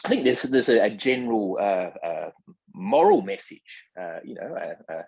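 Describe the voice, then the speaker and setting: Low, husky-voiced man, hesitant and scholarly. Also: wildly swooping pitch, darkish tone, no room sound, poor audio. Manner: hesitant, measured, frequent ums between phrases; formal, erudite wording. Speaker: literary translator, podcast guest. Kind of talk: scholarly literary discussion.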